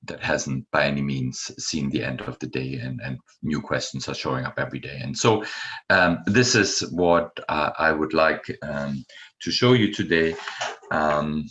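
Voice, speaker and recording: A middle-aged man from Germany with a German accent, giving a university lecture in an unhurried way with many ums and uhs. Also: very deep voice, fairly bright tone, dull audio. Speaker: guest lecturer.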